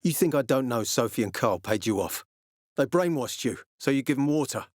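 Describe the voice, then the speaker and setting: A man acts out a hard-edged peacekeeper officer for a video game. He is suspicious and sardonic, with a terse, menacing way of talking that can swing widely in pitch. The sound is dry and close. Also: lively intonation, fairly quick, dry recording, natural voice. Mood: contemptuous threat. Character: tough, suspicious military peacekeeper commander, gruff and threatening. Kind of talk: video game character dialogue.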